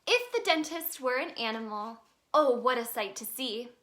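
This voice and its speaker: Lady reading a kids picture book, really high bright voice, sing-songy and expressive. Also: moderate pace. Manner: expressive, sing-song delivery for young children. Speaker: children's storybook reader, in a tooth fairy persona. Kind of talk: read-aloud children's story narration.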